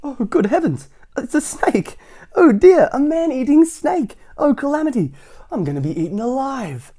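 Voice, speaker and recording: A man's voice: very high-pitched, big pitch swings, moderate pace, mellow, voice pushed up, full character voice. A man voicing a tiny, timid little man who panics easily and shrieks melodramatic exclamations in a storybook. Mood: panicked.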